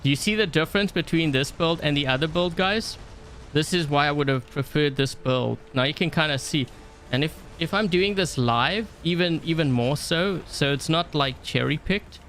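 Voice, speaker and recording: A man's voice: fairly high pitch, normal speed, fairly bright tone, slight background noise. A man from South Africa commentating his own game live, chatting and thinking aloud with lots of fillers. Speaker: game streamer. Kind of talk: casual live-stream gameplay commentary.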